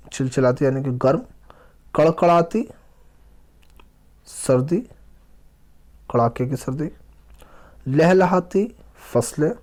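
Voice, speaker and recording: Slow-paced man teaching a children's lesson. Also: fairly high pitch, a little mellow. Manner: deliberate, pausing word by word, repeating phrases. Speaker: teacher explaining an Urdu textbook lesson for children. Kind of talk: lesson narration, reading aloud and explaining exercises.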